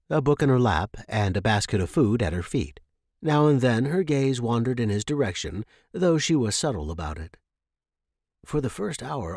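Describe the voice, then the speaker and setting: A man's voice: mid-range voice, darkish tone, husky, no echo, studio quality. A man reading a scripted adventure story as its narrator.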